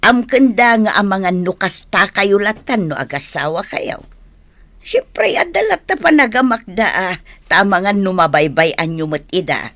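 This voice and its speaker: Woman acting animated, meddling older Ilocano auntie. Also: mid-range voice, moderate pace, close to an everyday voice. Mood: chiding. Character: meddling, well-meaning older woman scolding young drinkers. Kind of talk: Ilocano radio drama acting.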